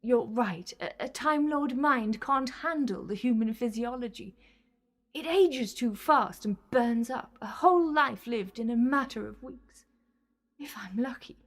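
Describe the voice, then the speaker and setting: High-voiced, imperious villainess, played by a woman. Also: expressive, unhurried. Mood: cold, resigned. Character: desperate, menacing female Time Lord villain, scheming and bitter. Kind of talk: sci-fi audio drama acting.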